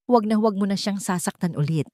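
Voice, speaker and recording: A woman's voice: medium pitch, fast, a little mellow, dry recording, close to an everyday voice. Authoritative male protector, wealthy and self-possessed, for dramatic fiction, read by a woman, dry close sound. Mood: cold command. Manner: controlled, authoritative. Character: commanding wealthy businessman, coldly authoritative protector.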